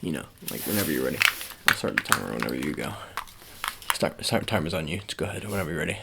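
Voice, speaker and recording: A man speaking softly with a gravelly voice in a casual ASMR roleplay, giving low-key, hesitant, repetitive instructions as an agent. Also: big pitch swings, rapid-fire, background noise.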